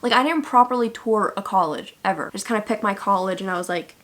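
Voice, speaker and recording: A woman's voice: medium pitch, quick pace, a bit bright. A young woman in her twenties from the United States, chatting casually and playfully to camera with sassy asides. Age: 20s. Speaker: YouTuber. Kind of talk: casual vlog chat.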